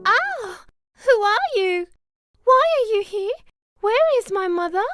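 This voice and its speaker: A woman's voice: very high voice, animated, slow pace, a bit bright, faint music behind, exaggerated voice. A woman voicing a sweet, innocent young fairy-tale maiden in a cartoon, earnest and naive. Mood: startled. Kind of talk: cartoon character acting.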